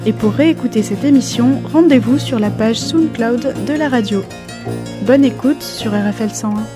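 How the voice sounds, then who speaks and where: A woman reads a station outro in a raised, smooth announcer voice. Clear guitar music plays under her words. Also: a little high, moderate pace. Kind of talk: scripted radio outro.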